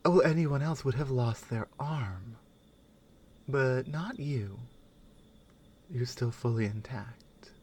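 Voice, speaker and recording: A woman playing a strong, wry, skeptical Nordic warrior companion in a soft, intimate ASMR roleplay, musing with pauses and murmured asides. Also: rumbling low, lively intonation, breathy edge, heavily acted. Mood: concerned, wry.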